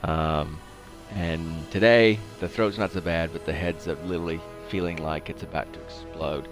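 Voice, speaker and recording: A man's voice: deep voice, steady tone, normal speed, over music. A man with a congested, sniffly head cold, giving casual, rambling game commentary as the host of a livestream.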